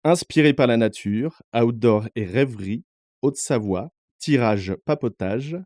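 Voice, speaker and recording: Relaxed podcast host from northern France. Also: male voice, medium pitch, unhurried, no room sound, studio quality. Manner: relaxed, filler-heavy interviewer. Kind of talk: casual interview.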